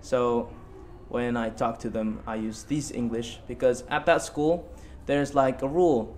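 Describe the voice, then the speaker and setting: A man's voice: medium pitch, fairly even intonation, unhurried, darkish tone, a little hiss or hum. A young man in his late teens from Bali giving casual interview answers as a student guest, hesitant and repeating himself. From Bali, Indonesia.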